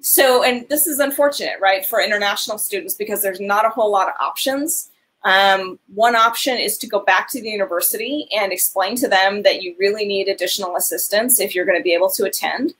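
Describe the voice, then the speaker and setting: A woman's voice: mid-range voice, fairly quick, a bit bright. A woman in her thirties on a video call, giving earnest, direct advice as a financial aid advisor in a webinar.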